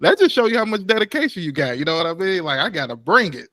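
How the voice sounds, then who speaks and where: Dry-sounding, eager young podcast host. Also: male voice, high voice, big pitch swings, fast, sharp tone, dry recording. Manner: enthusiastic, informal, with many fillers. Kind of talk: casual podcast interview.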